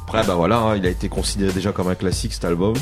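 A man's voice: sits low, fairly even intonation, racing. A man hosting a radio interview in casual, slangy, teasing conversation from a studio. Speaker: radio show host. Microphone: studio microphone with headphones. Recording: loud music under the voice.

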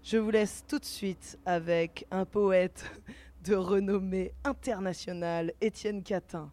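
A woman's voice: medium pitch, fairly even intonation, slow pace, dark tone. A woman hosting a live festival radio broadcast, presenting to listeners. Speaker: radio host.

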